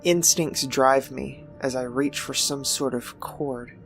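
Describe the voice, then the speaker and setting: A woman's voice: very deep voice, steady tone, takes it slowly, soft background music. A woman reading first-person fiction aloud as scripted narration. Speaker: story reader.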